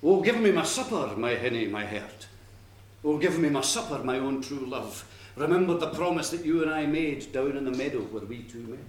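A man voicing a small, insistent storybook frog who woos in slow, pleading, repetitive song. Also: medium pitch, lively intonation, natural voice. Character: wee pleading frog, insistent wooer singing in rhyme. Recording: echoey room, faint background noise.

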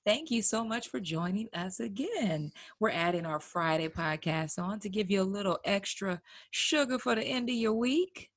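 A woman's voice: mid-range voice, animated, moderate pace, hardly any pauses. A woman co-hosting a podcast with casual banter. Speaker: podcast co-host.

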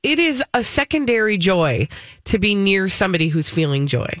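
A woman's voice: mid-range voice, big pitch swings, normal speed, a bit bright, no room sound, studio quality. A woman co-hosting a radio show, chatting casually and reacting supportively. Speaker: fill-in radio co-host.

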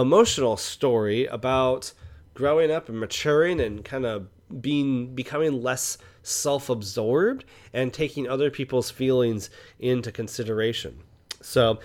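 Casual male movie podcast host. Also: medium pitch. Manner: conversational, rhetorical check-in questions. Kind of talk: casual film review podcast talk.

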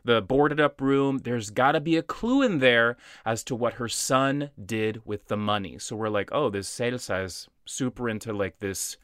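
A man co-hosts a casual podcast, retelling a movie scene by scene and acting out quoted lines. His voice is bright and forward. The sound is dry and close to the mic. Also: medium pitch, normal speed, hardly any pauses, clean tone, no room sound. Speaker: podcast co-host. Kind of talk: casual chat recapping a movie.

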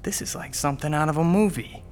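A man's voice: on the high side, fast talker, faint music behind, lightly acted. A man playing an eager, defensive young would-be comedian in his twenties, joking glibly in an audio drama. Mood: impressed, breezy. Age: 20s. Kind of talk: naturalistic audio drama dialogue.

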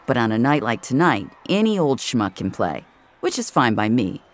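An actress playing a sardonic, jaded virtual-reality regular, narrating an audio drama in a wry, conversational, profane way. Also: female voice, rumbling low, sing-song, normal speed. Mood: wry, matter-of-fact.

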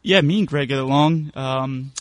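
Easygoing young sports producer. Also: male voice, mid-range voice, dry recording. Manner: easygoing, measured opinions. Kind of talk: casual sports chat.

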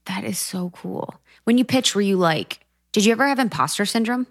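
A woman's voice: medium pitch, animated, brisk, no room sound, clean studio sound. A woman hosting a podcast, asking her guest curious, probing questions. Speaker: podcast host. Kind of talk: casual interview conversation.

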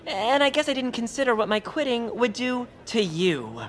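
A woman's voice: fairly high pitch, expressive, normal speed, natural voice. A woman playing an earnest, self-doubting amateur theatre director in a comedic audio drama, with a hesitant, conciliatory manner. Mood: apologetic, earnest.

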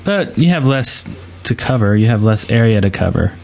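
A man's voice: on the low side, sing-song, normal speed, creaky, darkish tone. A man co-hosting a gaming podcast, talking in a casual, conversational style. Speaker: podcast co-host.

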